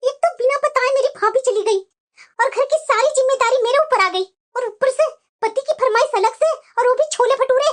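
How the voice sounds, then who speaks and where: Woman doing whiny bossy cartoon wife, crazy high voice, always complaining, sharp tongue. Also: normal speed. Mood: grumbling. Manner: complaining, emphatic. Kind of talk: Hindi moral-story cartoon acting.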